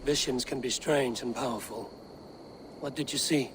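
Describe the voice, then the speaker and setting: An actress playing a steady, direct young Norse warrior woman in a video game cutscene. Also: a bass voice, normal speed, background noise. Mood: steady, probing.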